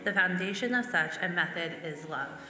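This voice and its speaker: A woman's voice: lowish voice, normal speed, sharp tone. A woman reading quotations aloud as a church member. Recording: echoey hall, music underneath. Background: music.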